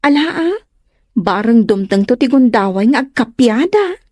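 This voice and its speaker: A woman's voice: fairly high pitch, expressive, measured pace, darkish tone, no room sound, clean studio sound. A woman acting a chatty, teasing young friend and confidante in an Ilocano comedy drama. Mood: teasing, friendly.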